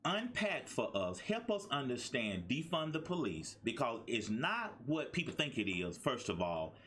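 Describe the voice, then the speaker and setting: A man's voice: medium pitch, very animated, unhurried, fairly bright tone, slight background noise. A man hosting a talk show interview, encouraging his guest with frequent affirmations.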